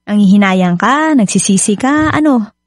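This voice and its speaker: A woman's voice: mid-range voice, lively intonation, normal speed, no echo, lightly acted. A Filipino-accented woman acting in a radio drama as a hurt, suspicious young wife and mother who keeps insisting. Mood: confrontational, hurt.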